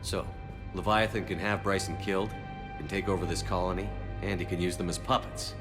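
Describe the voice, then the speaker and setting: A male actor as a determined military officer from a video game. His voice is low and he talks fast, with clipped authority. Music plays clearly behind him. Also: steady tone, close to an everyday voice. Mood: commanding, probing. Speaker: military commander. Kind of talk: dramatic video game acting.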